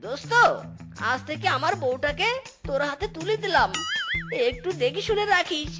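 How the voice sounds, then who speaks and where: Very high, excitable scheming cartoon husband. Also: female voice, moderate pace. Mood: excited, coaxing. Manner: exclamatory, slangy. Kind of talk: cartoon story acting. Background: music.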